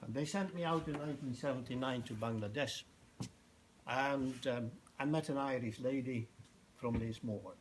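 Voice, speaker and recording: An older man with a Dutch accent giving an informal public talk in a halting, anecdotal way. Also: mid-range voice. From Netherlands. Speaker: festival organiser giving a presentation. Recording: noticeable background noise, live talk.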